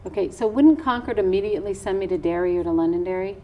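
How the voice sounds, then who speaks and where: A woman's voice: medium pitch, fast talker, warm tone, dull audio. A woman with an American accent hosting an interview, asking questions in a conversational way. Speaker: interviewer, host.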